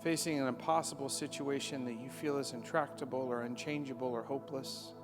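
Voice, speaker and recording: A man leading a closing prayer in a gentle, quiet pastoral manner. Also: mid-range voice, steady tone, a little fast. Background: soft ambient worship music.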